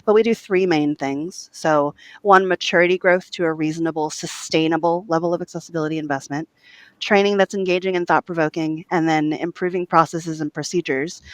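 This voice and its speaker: Fast-talking woman guest, organized and to the point, dry sound. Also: low voice, no room sound. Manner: brisk, structured explanation. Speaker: guest, accessibility program director. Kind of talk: conversational podcast interview.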